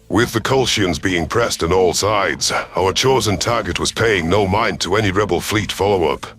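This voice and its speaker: A man narrating a scripted first-person science fiction story in a formal, deliberate storytelling manner. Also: low voice, fairly bright tone.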